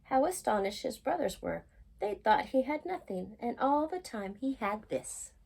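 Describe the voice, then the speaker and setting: A woman reads a children's story aloud with lots of expression. Her voice is mellow and husky, and her pitch moves in lively swings as she tells it. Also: normal speed. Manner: animated, acts out characters' lines. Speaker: storyteller reading a picture book aloud.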